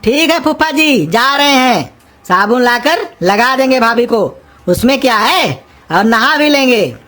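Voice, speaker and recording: A woman's voice: fairly high pitch, animated, unhurried, faint music behind. A woman voicing a silly, half-deaf young male cartoon helper, whiny and naive. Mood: confused, querulous. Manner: whiny, naive, loudly querulous. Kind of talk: cartoon comedy dialogue in rustic Hindi.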